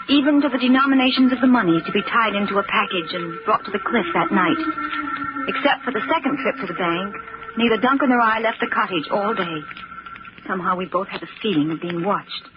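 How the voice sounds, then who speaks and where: A woman's voice: medium pitch, natural voice. An actress playing an anxious, protective young woman in an old radio drama, earnest, restrained and firm. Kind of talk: old radio drama acting and first-person narration. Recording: muffled, noisy old radio recording.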